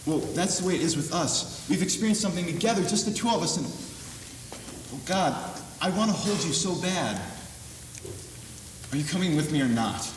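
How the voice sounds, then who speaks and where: A young man in a school stage play, playing an anxious husband who coaxes and pleads with his wife and bursts out loud at peaks, heard from far off in an echoey hall. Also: a little high, lively intonation, moderate pace, long pauses, slight background noise, close to an everyday voice. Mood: pleading. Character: anxious husband Jim, gently pushing his wife to face the truth. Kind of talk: amateur stage acting. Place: high school auditorium stage. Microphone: distant room mic.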